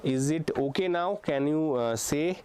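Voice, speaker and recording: A young male physics teacher gives a live online class for exam preparation. His voice sits a little high for a man. He works through each point step by step, hesitating often and checking in with the class. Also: measured pace, a little mellow, slight background noise. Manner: frequent hesitations with uh and um.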